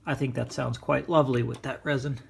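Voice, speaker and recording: A man hosting a casual hobby demonstration in his living room, explaining things in a measured, hesitant way. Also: mid-range voice, fairly even intonation, creaky, soft background music. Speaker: host, record hobbyist. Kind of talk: casual demonstration of vintage records. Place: indoors, living room at home.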